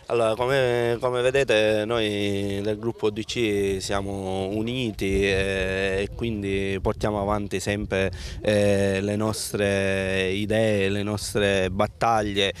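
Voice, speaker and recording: Male councillor, lowish flat pitch, unhurried, news interview outdoors. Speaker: town councillor. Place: outdoors, open site by a gate. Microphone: handheld reporter microphone.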